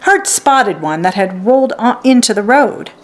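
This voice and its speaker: Expressive woman storyteller with lively pitch. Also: moderate pace. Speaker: read-aloud storyteller. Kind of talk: expressive children's storybook narration.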